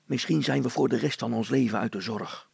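A man's voice: mid-range voice, fairly quick, vocal fry, a little mellow, slightly rough, no echo, heavily acted. A man voicing a blustering, scheming village constable in a storybook, hesitating and stammering as he talks. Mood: conspiratorial, urging. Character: scheming village constable, blustering, flustered before his superior.

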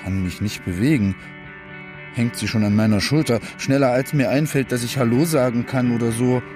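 An actor playing a rough working-class young man in a German radio play, telling a tender memory in clipped, colloquial sentences. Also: medium pitch, moderate pace, close to an everyday voice. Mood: wistful, plain. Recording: music behind the voice.

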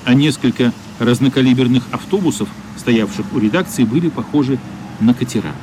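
A man narrating a literary radio play in a measured, somber, dramatic style. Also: medium pitch, background noise. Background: faint music.

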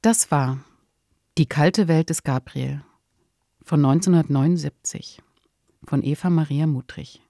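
A woman's voice: low-pitched, measured pace, vocal fry, pauses often, warm tone, dry recording. A woman co-hosting a podcast, giving conversational commentary on radio drama into a close studio mic. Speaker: podcast co-host.

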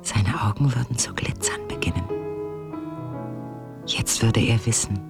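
An actress playing a wounded, bitter middle-aged wife in a German radio drama, speaking with accusing intensity and building repetitions. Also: female voice, extremely low pitch, a little slow, gravelly, in a whisper, over loud music, exaggerated voice. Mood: hushed, narrating.

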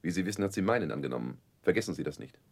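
A man's voice: low voice, animated, rapid-fire, gravelly, a little mellow, husky, exaggerated voice. Stern, domineering stepfather, menacing swings. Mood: cold, controlled. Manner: cold, menacing, commanding. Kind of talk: German radio drama acting, 1960s.

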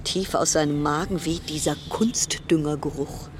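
A woman's voice: sits low, fairly even intonation, normal speed, noisy background, natural voice. A woman acting in a radio play as an anxious, sardonic quarantined passenger, delivering a brooding, dramatic interior monologue. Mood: wary, observing.

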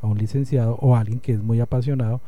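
Male host, Colombian accent, deeply soft-edged and unforced tone, measured conversational delivery with wordy commentary. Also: steady tone. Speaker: interview host. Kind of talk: conversational interview.

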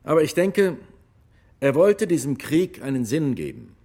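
An actor playing a retired, war-weary army officer who testifies gravely and emphatically in a courtroom radio drama. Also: male voice, a little high, big pitch swings, a little slow, mellow, full character voice. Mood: firm, reflective.

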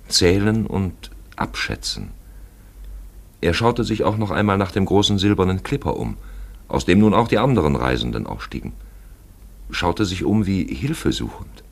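A man narrating a literary radio play in an expressive, deliberate storytelling manner, with a gravelly, husky voice. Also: sits low.

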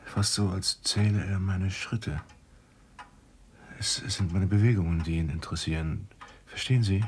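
Obsessed scientist: low, creaky male voice, halting and intense, radio drama, slight background noise. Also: a little mellow. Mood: obsessive. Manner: halting, intense, self-justifying. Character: obsessed, agitated male scientist under an eerie music's spell. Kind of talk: German radio drama acting.

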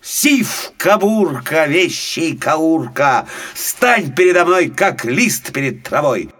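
A man's voice: on the high side, sing-song, slow pace, a bit bright, voice pushed up, exaggerated voice. A man voicing a simple, good-natured young peasant fool in a fairy tale, plain-spoken and naive. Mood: calling out.

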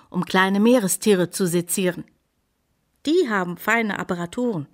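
An actress playing an exasperated, bitter grown daughter of an old scholar, complaining pointedly in a radio play. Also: female voice, on the low side, close to an everyday voice. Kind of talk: German radio play acting.

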